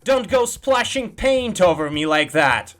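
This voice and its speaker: A man's voice: high voice, lively intonation, unhurried, fairly bright tone, soft background music, voice pushed up. A man voicing a quarrelsome, indignant playing-card gardener from a children's storybook, sulky and exclaiming. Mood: sulky, accusing.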